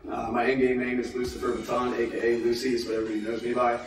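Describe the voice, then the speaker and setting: A man's voice: mid-range voice, monotone, racing. A man in his twenties from Northern Virginia, an esports player speaking in a matter-of-fact way. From Northern Virginia, USA. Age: 20s. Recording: echoey, distant, loud music behind.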